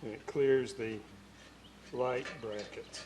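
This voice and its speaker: A man narrates hands-on restoration work casually, in short unhurried phrases muttered as he goes. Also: medium pitch, steady tone. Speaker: radio restorer hosting a repair video. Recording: clearly noisy workshop recording with clicks.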